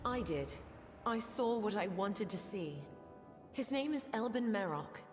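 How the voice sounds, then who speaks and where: A woman acts as a subdued, remorseful soldier from a fantasy video game. Her medium-pitched voice is earnest and hesitant. It has a long reverberant tail, with music underneath. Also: expressive, a little slow, lightly acted. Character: quiet, guilt-ridden female soldier confessing, earnest. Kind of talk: video game character acting.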